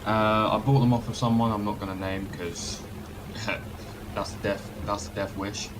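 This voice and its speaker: Man playing a hesitant, slightly low-voiced witness. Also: fairly even intonation, measured pace, noisy background. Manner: hesitant, many ums and restarts. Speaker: witness in a role-play court case. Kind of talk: casual role-play testimony.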